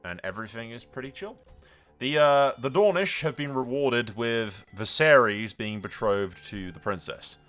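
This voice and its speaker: A man's voice: mid-range voice, lively intonation, moderate pace, a bit bright. A man giving casual, informal commentary on a roleplay game, thinking aloud and slipping into storytelling. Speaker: gaming video host. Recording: music behind the voice.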